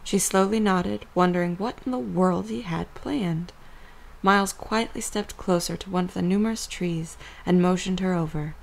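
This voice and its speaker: A woman's voice: on the low side, normal speed, creaky, rough recording. A woman narrator reading a scripted story for an audio drama.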